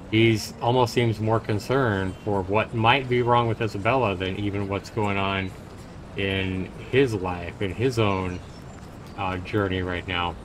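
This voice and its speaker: A man's voice: lowish voice, slight background noise, low-quality recording. A man livestreaming a game, reading the narration aloud and adding his own commentary. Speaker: streamer and host.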